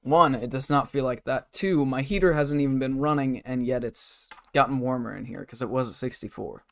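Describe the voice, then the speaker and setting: A hoarse man live-streaming a game from his bedroom desk, rambling and muttering to himself and his chat. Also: mid-range voice, moderate pace. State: hoarse, scratchy throat.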